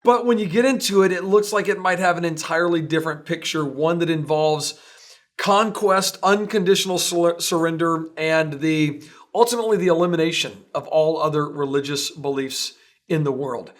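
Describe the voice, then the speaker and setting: A man's voice: a little high, normal speed. A man hosting an interview show, casual and jokey in manner. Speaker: show host.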